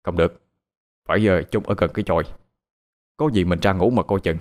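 A man voicing a stern middle-aged father who scolds gruffly. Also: low-pitched, fairly quick, darkish tone, natural voice. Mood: low scheming.

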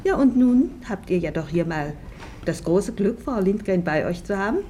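A woman's voice: medium pitch, lively intonation, moderate pace, mellow, slight background noise. A woman hosting a children's radio interview, speaking simply and a little ceremoniously, in an old archive recording.

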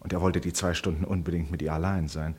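A low-pitched gentleman sleuth, acted by a man in a radio play. He is shrewd and amused, speaking with ironic, mock-polite authority and a theatrical flair. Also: fairly even intonation, fast, close to an everyday voice. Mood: amused explaining. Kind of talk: German radio play acting.

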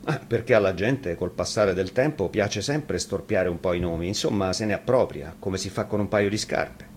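Man playing a low-voiced, teasing shepherd storyteller. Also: normal speed, close to an everyday voice. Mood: sly, teasing. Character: sly, teasing old-tale shepherd telling a story. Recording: noisy live radio reading.

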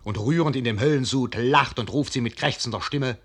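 A literary storyteller for a radio play. His medium-pitched voice is measured and suspenseful, drawing the listener into vivid scenes. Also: a bit bright, husky. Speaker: narrator. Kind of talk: scripted literary radio drama narration.

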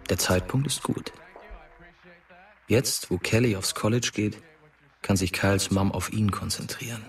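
Deep sober narrator for a crime audio drama, music running underneath. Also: male voice, unhurried, breathy edge, heavily acted. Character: sober third-person storyteller of a crime drama. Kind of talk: scripted radio drama narration.

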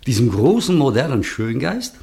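An actor playing a gushing, fad-loving aristocratic host in a German radio play, effusive and grandiose with small hesitations. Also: male voice, mid-range voice, very animated, normal speed, creaky, mellow. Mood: awed, gushing.

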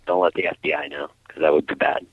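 A very low-voiced man on a dull, lo-fi phone connection, chatting casually as a caller. He speaks haltingly and often repeats his words. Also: steady tone, a crowd in the background. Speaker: caller to a call-in show. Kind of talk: casual chat. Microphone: phone call through internet voice service. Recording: muffled lo-fi internet phone line.

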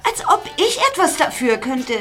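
High-pitched indignant girl. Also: sing-song, moderate pace, a bit bright, soft background music. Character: defensive, indignant gossiping girl. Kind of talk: German audio drama acting.

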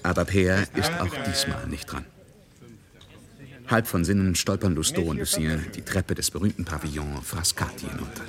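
An actor narrating a radio drama in a theatrical, suspenseful and emphatic style. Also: male voice, deep voice, normal speed. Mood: dramatic. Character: dramatic male storyteller following gamblers' fortunes. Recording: radio drama with crowd behind.

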